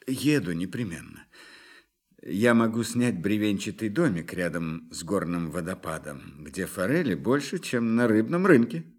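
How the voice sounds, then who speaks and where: An actor playing a courteous, earnest psychologist who asks probing questions in an audio drama. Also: male voice, deep voice, animated, unhurried, darkish tone, breathy edge, full character voice. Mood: agreeable, earnest. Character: attentive, inquisitive male psychologist, courteous and earnest. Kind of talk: Russian audio drama acting.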